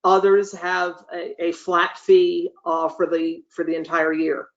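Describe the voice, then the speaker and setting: Meeting facilitator, measured explanatory delivery, careful hesitant phrasing, middle pitch, dull lo-fi call sound. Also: completely flat delivery. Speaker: meeting facilitator answering board chairs' questions. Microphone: video call. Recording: dull lo-fi video call audio.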